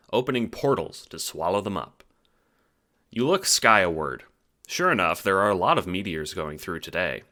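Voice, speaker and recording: A man narrating a scripted second-person story as an audiobook, with theatrical, expressive delivery. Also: deep voice.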